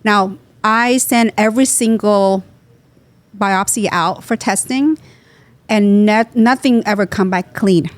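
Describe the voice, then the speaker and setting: A woman's voice: mid-range voice, slow. A woman from Vietnam, a guest dentist, explaining her practice in a podcast interview with an earnest, teaching manner and loose grammar. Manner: explanatory, earnest, drops small grammar words.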